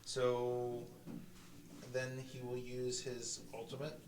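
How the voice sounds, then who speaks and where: A man's voice: mid-range voice, completely flat delivery, takes it slowly. A man talking through his tabletop game moves casually, counting aloud. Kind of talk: casual chat during tabletop gameplay. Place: indoors around a game table. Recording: distant mic, echoey, very noisy room.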